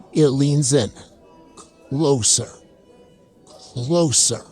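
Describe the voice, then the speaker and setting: Casting: theatrical, chaotic fantasy barkeep played by a man, very animated delivery, mellow tone, oversharing comic storyteller. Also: medium pitch. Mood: comic, incredulous. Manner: theatrical, wry, comically self-deprecating. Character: chaotic oversharing fantasy tavern keeper spinning tall tales. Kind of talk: dramatic first-person comic storytelling.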